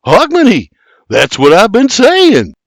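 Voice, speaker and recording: A man's voice: high-pitched, wildly swooping pitch, unhurried, no room sound, pitched above the performer's own voice, heavily acted. A man voicing a teasing, sarcastic younger Irishman with an Irish accent. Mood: wry. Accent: Irish, as played. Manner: wry, sarcastic retorts.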